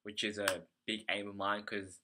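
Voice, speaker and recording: A man's voice: lowish voice, little pitch movement, normal speed, background noise. An Australian man speaking in an unscripted video diary, rambling hesitantly and often correcting himself as he goes. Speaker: vlogger, English teacher abroad.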